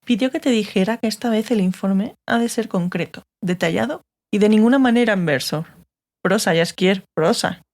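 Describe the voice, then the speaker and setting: An actress playing a stern, sardonic sorceress, curt and authoritative, in a dramatized fantasy audiobook. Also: female voice, mid-range voice, moderate pace, a little mellow, natural voice. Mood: confidential, wry. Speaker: audiobook actor.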